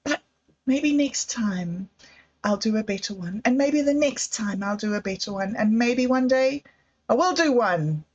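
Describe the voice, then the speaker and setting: Slow, musing New woman. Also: fairly high pitch, animated. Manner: hesitant, musing, self-doubting. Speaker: livestream host. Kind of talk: casual livestream monologue. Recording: slightly dull lo-fi audio.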